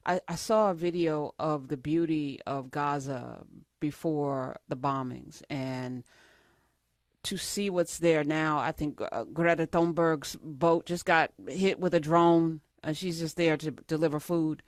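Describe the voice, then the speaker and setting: A woman's voice: deep voice, a little slow, no echo, clean studio sound. A woman hosting a talk show interview, asking impassioned, searching questions.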